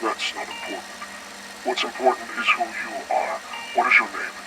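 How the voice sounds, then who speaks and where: A man playing a calmly menacing facility director interrogating a captive in a game audio log, with measured, condescending delivery. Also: on the low side, loud music behind the voice, close to an everyday voice, rough recording. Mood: stern, pressing. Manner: measured, condescending, veiled threats.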